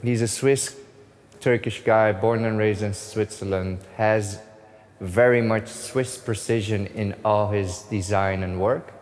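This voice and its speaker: A man's voice: on the low side, fairly even intonation, slow, a little mellow. A man from the Netherlands walking through his new shop, telling its story with enthusiasm and acting out his phone calls with the artists. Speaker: store owner giving a tour. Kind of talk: casual walkthrough narration. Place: inside a large shop.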